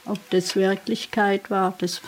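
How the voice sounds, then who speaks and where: Medium-pitched woman recalling casually. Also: level tone, measured pace, slight background noise. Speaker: interviewee. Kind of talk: casual recollection.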